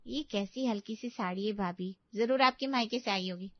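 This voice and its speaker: A woman's voice: mid-range voice, normal speed, dry recording, highs cut off, natural voice. A woman voicing a snooty, bossy young sister-in-law in a family story. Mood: haughty.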